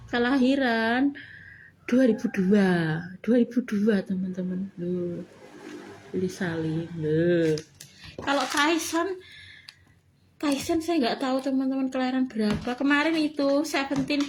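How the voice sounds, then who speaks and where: Woman vlogger, rambling and repetitive, sometimes whispers. Slightly high voice, faint background hiss. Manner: rambling, repeats phrases, drops to whispers in prayers. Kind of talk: casual rambling prayer talk to viewers.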